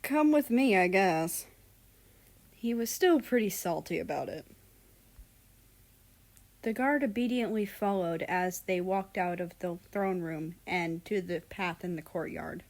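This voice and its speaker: A woman's voice: fairly low pitch, a little slow, darkish tone. A woman reading a fan fiction story aloud as scripted narration.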